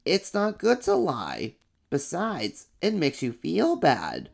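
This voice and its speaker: Guy doing a sweet little monster, kinda high, takes its time. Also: wildly swooping pitch, slow, creaky, exaggerated voice. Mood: gentle.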